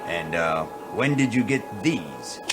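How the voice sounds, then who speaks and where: An actor playing a weary, cynical, wry private eye in a deadpan radio noir parody. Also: male voice, low voice, takes it slowly, reverberant, music in the background, exaggerated voice.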